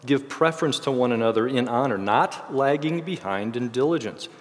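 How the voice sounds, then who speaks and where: A man giving an informal, explanatory Bible lesson in halting phrases, his voice a little worn from a cold. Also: medium pitch, animated. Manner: halting, explanatory delivery with self-corrections. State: getting over a cold, voice slightly failing. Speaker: church teacher leading a series on discipleship. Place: speaking to a group in a large reverberant room. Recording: reverberant hall sound.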